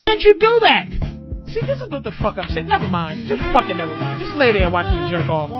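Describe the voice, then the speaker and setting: High-pitched, animated male radio host. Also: fast. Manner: blunt, profane, animated. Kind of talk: casual comedic talk radio. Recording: muffled radio audio with music behind.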